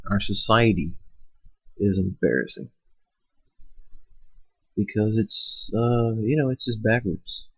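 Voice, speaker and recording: A husky man with a lowish voice, stuffed up from a cold, rants bluntly and swears a lot, wandering through his points with plenty of fillers. It is a dull, lo-fi home recording, close and dry with no room sound. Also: takes it slowly, pauses often, fairly bright tone, dry recording. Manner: profane, blunt, rambling with fillers. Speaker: host. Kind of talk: ranting monologue. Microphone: cheap microphone. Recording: lo-fi home setup.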